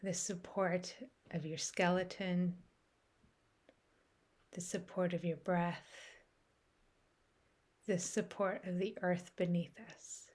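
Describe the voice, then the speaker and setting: A woman leading a guided meditation in a soft, soothing way, with measured phrasing and long pauses. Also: lowish voice, fairly even intonation.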